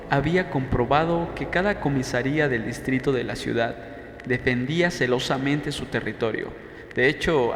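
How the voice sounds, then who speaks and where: A man with a mid-pitched voice reads a novel as an audiobook narrator. The sound is very echoey, with long reverb and a faint background noise. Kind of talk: scripted first-person novel narration.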